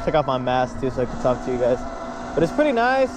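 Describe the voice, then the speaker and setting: A man's voice: mid-range voice, very animated, fast. A man chatting casually to viewers in a laid-back way while riding a bike outdoors, as a vlogger. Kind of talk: casual ride-along vlog chat. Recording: loud wind and road noise.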